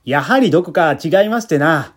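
A man's voice: a little high, lively intonation, normal speed, husky. A man voicing a cheerful, polite policeman, a proud family man talking in a chatty, deferential, folksy way in a story reading. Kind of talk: literary reading with acted dialogue. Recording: music bed behind the voice.

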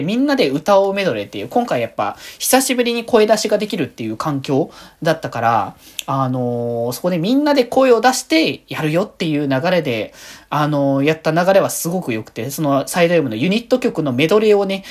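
A woman's voice: fairly low pitch, darkish tone. A woman hosting a solo podcast in a casual, rambling way, as if thinking aloud. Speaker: host of a solo fan podcast.

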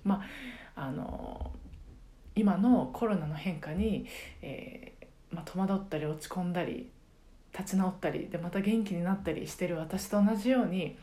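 A middle-aged woman chatting casually on a solo podcast, reflective and halting as she searches for words. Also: fairly low pitch, mellow. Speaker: podcast host and karaoke teacher.

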